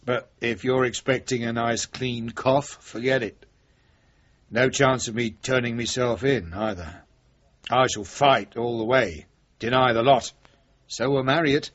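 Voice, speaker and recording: A British actor playing a cornered, wily, middle-aged chief inspector in a radio drama, blunt, sardonic and probing. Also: male voice, mid-range voice, fairly even intonation, unhurried, fairly bright tone. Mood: cynical defiance. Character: cornered, wily veteran police chief inspector, defiant and cynical. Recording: dull, muffled old radio recording.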